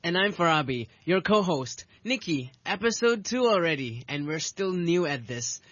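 A woman's voice: on the low side, sing-song, moderate pace, dry recording. A woman co-hosting a sports program with scripted lines and light banter. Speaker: co-host and pool player. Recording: dull, lo-fi recording.

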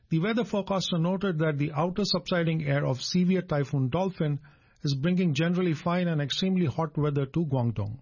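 A man's voice: fairly high pitch, a little mellow, slightly rough, dull audio. A man reading a scripted radio news bulletin as the presenter.

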